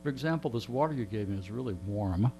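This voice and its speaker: A man's voice: mid-range voice, expressive, quick pace, mellow, husky. A man being interviewed in a radio studio, telling stories in a casual, joking, rambling way. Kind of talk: casual anecdotal storytelling. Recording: faint mains hum.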